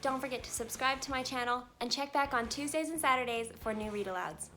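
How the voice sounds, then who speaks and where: A woman hosting a children's read-aloud, telling a storybook in an animated, expressive way with emphatic exclamations. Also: sits high, fairly quick. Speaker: read-aloud channel host. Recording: music behind the voice. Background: music.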